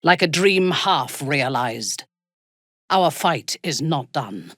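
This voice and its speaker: An actress playing a haughty, ruthless female drow elf in a fantasy video game, speaking in a low, husky, measured and coldly menacing voice. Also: lively intonation, a bit bright, no room sound, clean studio sound, full character voice. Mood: solemn intensity. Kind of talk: scripted fantasy game voice acting.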